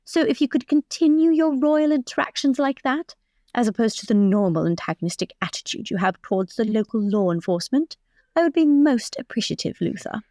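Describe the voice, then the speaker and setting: Lady playing prim bossy noble sister, higher voice, formal scolding but fond, role-play drama. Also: lively intonation, brisk, dark tone, dry recording, studio quality. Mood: instructing. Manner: formal, admonishing.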